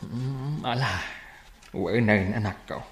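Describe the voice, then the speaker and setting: An actor playing a gentle, ailing elderly father with an Ilocano accent in a radio drama, speaking softly and hesitantly. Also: lowish voice, takes it slowly, echoey room. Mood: gently worried.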